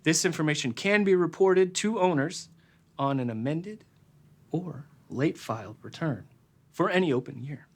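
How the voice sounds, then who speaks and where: Brief: a fairly high-voiced man explaining technical rules, measured and formal. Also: lively intonation. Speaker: accountant. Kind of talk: reading technical rules aloud.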